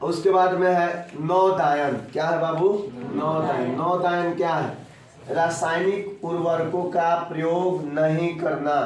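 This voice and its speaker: Echoey, higher-pitched man teaching, throat slightly off. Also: fairly even intonation, slow pace, a crowd in the background. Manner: didactic, repeats points and quizzes the pupils. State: sore throat, off voice. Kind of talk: classroom geography lesson for school children. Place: echoey room, teaching in person. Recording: very echoey room, distant mic.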